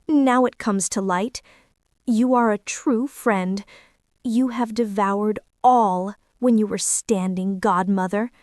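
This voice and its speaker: A woman's voice: mid-range voice, slow pace, a little mellow, no room sound, studio quality, lightly acted. A woman voicing a small, good-natured female mouse in a children's fairy tale, with an earnest, exclamatory manner. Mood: dismayed.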